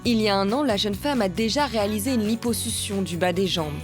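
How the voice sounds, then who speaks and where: A woman reading a documentary voice-over in an even, measured way. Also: mid-range voice. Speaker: documentary narrator. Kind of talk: scripted voice-over narration. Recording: music playing underneath.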